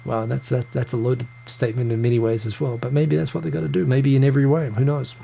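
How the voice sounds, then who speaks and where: Gravelly New Zealand man, live chat. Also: medium pitch, flat delivery, quick pace, dark tone, slight background noise. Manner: chatty, stumbling restarts, greets viewers by name. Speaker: live show host reading viewer comments. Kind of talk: casual live-stream chat. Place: home room in Tokyo.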